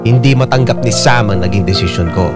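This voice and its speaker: A man's voice: lowish voice, a little fast. A man narrating a dramatic first-person story as a radio storyteller. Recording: piano music behind the voice.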